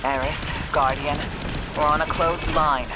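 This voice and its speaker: An actress playing a stern, dutiful warrior in a video game cutscene, speaking in a clipped, businesslike way. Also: female voice, deep voice, steady tone, a little slow, heavy background noise. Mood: urgent, businesslike. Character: stern, dutiful female warrior, brisk and guarded.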